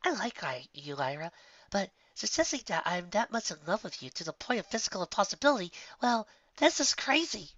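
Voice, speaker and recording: A man's voice: sits high, big pitch swings, fairly quick, fairly bright tone, dry recording, dull audio, pitched above the performer's own voice, heavily acted. Fretful storybook mare performed by a man, raised well above his natural voice, dramatic and exasperated. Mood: exasperated, teasing. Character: anxious, fretful female pony confectioner.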